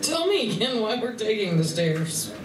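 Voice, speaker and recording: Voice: medium pitch, sing-song, moderate pace, lightly acted. A performer playing a skeptical, composed female animal adventurer with a dry, questioning manner in a live radio play. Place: live stage reading at a convention. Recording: echoey room, distant mic, faint noise.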